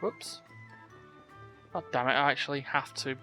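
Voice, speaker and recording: A man's voice: medium pitch, huge pitch swings, measured pace, pushed and bright. A man streaming a game, giving casual commentary full of muttered asides and wry exclamations. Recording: game music behind.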